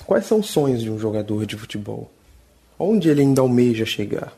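A male sports reporter reading interview questions and scripted narration in a measured, formal way. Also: mid-range voice, sing-song, gravelly, warm tone.

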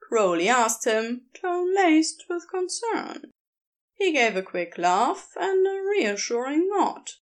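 A woman reading scripted fiction aloud as a narrator, with an expressive storytelling delivery. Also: sits high, takes it slowly. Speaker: podfic narrator.

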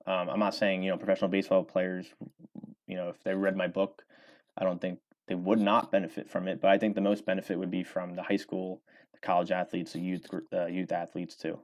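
Young male author, very low voice, loose rambling delivery with lots of ums. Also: completely flat delivery, fairly quick, darkish tone. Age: 20s. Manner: rambling, many fillers and restarts. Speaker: author and former college baseball player. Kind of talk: casual interview answers.

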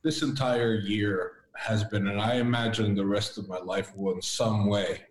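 A man who grew up speaking Latvian, a podcast guest talking in an earnest, reflective way with halting restarts. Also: on the low side, steady tone. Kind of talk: casual interview chat. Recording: roomy echo.

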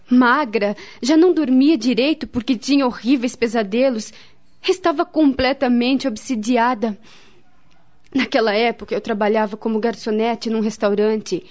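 A woman's voice: on the high side, darkish tone, close to an everyday voice. An actress playing a grave, devout woman in a radio drama, speaking solemnly and earnestly with a Brazilian accent. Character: grave, devout woman friend, counselling and recalling family tragedy. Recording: dull, lo-fi radio drama recording.

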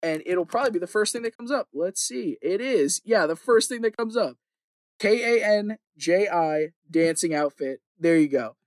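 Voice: mid-range voice, lively intonation, moderate pace, dry recording. A podcast host chatting casually in an opinionated ramble, emphatic and sweary, often repeating phrases for emphasis.

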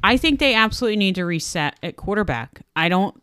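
A woman's voice: mid-range voice, lively intonation, quick pace, a bit bright, clear, resonant tone, no room sound. A woman co-hosting a casual sports chat, emphatic and repeating her points for effect. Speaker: sports talk show co-host.

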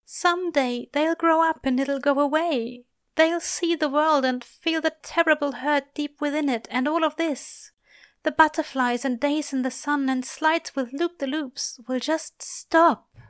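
A woman's voice: big pitch swings, no room sound, clean studio sound, heavily acted. A woman voicing an anguished, innocent male angel with a plaintive, pleading way of speaking, in an audiobook. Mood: sorrowful. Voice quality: high, plaintive. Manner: moaning, drawn-out pleading.

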